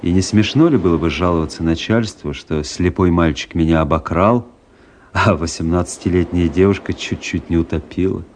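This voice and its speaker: An actor playing a wry, melancholy army officer who narrates his story in a measured, reflective radio-play style. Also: sits low, darkish tone, slight background noise, natural voice. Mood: rueful, wry. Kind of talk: dramatic literary radio narration.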